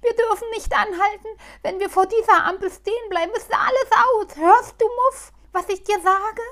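A woman voicing a frantic, whiny little male gnome who keeps pleading in a high voice. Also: moderate pace, fairly bright tone. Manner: pleading and panicky.

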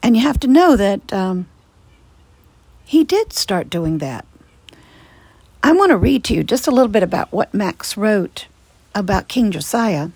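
A woman hosting her faith podcast, her voice hoarse and raspy with a gravelly fry. She talks softly and earnestly, reflecting as she goes. The sound is close and dry. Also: medium pitch, very animated, a little slow, long pauses, no room sound. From Virginia, USA. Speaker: podcast host and ministry leader. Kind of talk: casual devotional podcast talk. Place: screened-in porch outdoors.

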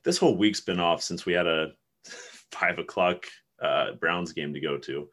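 Man, 30s, from Ohio, lowish voice, easygoing and rambling; casual podcast co-host. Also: measured pace, fairly bright tone. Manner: laid-back, rambling, laughs often. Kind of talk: casual chat.

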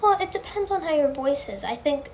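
A woman with a high, girlish voice chatting casually on a livestream, answering fan questions in a gushing, earnest way and often repeating herself. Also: lively intonation, a little fast, a little mellow, slight background noise.

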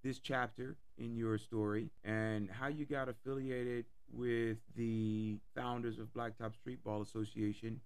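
A man hosting an interview podcast, his voice hoarse from a cold, talking with enthusiasm and repeating words. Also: medium pitch, little pitch movement, a little slow, few pauses, darkish tone. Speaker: podcast host. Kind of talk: casual interview.

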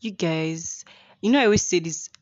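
A woman's voice: lowish voice, normal speed, muffled recording. A young Nigerian woman hosting a podcast in casual chat, playful and teasing, often laughing. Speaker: podcast host.

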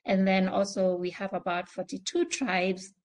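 Woman, East African accent and native language, medium pitch, nonprofit panelist explaining her work in a discussion. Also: steady tone, moderate pace. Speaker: panelist, transport nonprofit leader.

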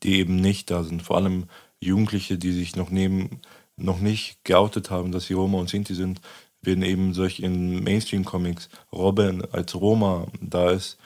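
A man speaking as a guest in a casual conversation, halting and searching for words; his first language is Romani. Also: sits low, little pitch movement, darkish tone.

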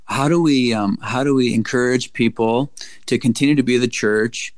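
A man's voice: medium pitch, moderate pace. Encouraging man talking about faith. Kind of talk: conversational podcast discussion.

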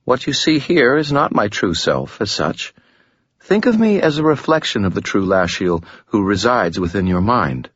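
A man voicing a serene, elegant female demon who speaks formally and with gentle amusement. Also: fairly low pitch, very animated, moderate pace, husky, no echo, muffled recording, pitched above the performer's own voice.

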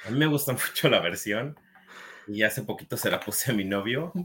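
A man's voice: fairly low pitch, expressive, moderate pace, fairly bright tone, a little hiss or hum. Mexican man chatting in a casual, anecdotal way. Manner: anecdotal, conversational. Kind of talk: casual chat.

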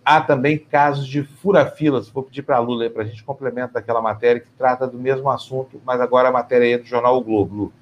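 Brazilian male news anchor, middle pitch, casual and outspoken, slight background hiss. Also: fairly even intonation, fast talker, few pauses, lo-fi sound. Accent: Brazilian Portuguese. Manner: informal, indignant, directs the crew on air. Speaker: news show host. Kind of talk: morning news commentary.

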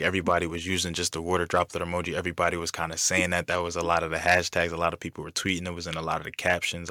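A man's voice: low voice, no room sound, clean studio sound. A young man hosting a podcast, chatting casually and loosely with slang and lots of restarts. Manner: relaxed, rambling, slangy. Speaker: podcast host.

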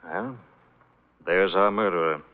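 An actor playing a commanding gentleman detective in a 1940s radio mystery, urgent and theatrical. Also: sits low, steady tone, a little slow, clear, resonant tone. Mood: grim, commanding. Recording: tinny, narrow old radio broadcast.

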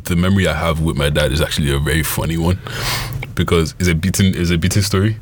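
A young man, raised first in Yoruba, tells stories casually among friends in an animated, slangy way, often repeating himself. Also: sits low, quick pace, a little hiss or hum. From Nigeria and London.

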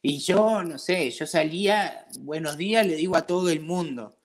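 A man's voice: a little high, normal speed. A man from Patagonia with an Argentine accent, chatting casually and colloquially as he tells stories and acts out bits of dialogue. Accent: Argentine Spanish. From Patagonia, southern Argentina. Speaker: interviewee, craft brewery owner. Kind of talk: casual conversational storytelling.

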